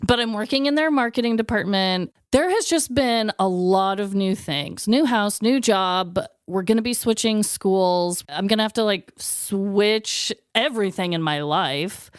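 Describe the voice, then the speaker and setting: A woman in her thirties chats on a podcast, frank and rambling, dropping the odd curse word. Her voice sits a little high and comes through smooth and clear. The sound is dry, close to the mic. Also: no echo. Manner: chatty, candid, swears freely, fond of "like". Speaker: podcast co-host. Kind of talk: casual chat.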